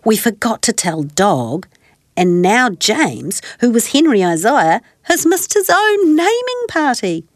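Woman as earnest, kindly toy friend, lively pitch. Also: takes it slowly, no room sound, studio quality, full character voice. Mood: apologetic. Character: kindly toy friend, Rags, organising and reassuring. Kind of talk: children's storybook character reading.

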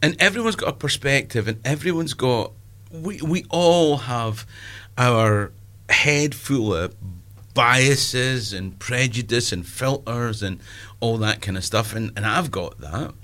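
Scottish man, 50s, stand-up comic guest; rambling, self-mocking, mid-pitched. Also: slow pace, a bit bright. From Scotland. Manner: chatty, self-deprecating, many restarts and asides. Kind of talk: casual interview chat.

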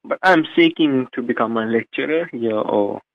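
A man in his thirties with a Sri Lankan accent, whose native tongue is Sinhala, talks hesitantly, pausing on fillers. His voice has a gravelly edge. It comes through a dry, narrow, phone-like line. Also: mid-range voice, no room sound. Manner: hesitant, many fillers and restarts. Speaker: radio show host, guest. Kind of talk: interview answers.

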